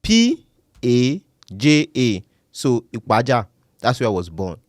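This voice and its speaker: A man's voice: mid-range voice, slow pace, dry recording, studio quality. A man from Nigeria with a Nigerian accent, a comedian guest joking in a casual chat. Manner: playful, deadpan jokes, repeats words.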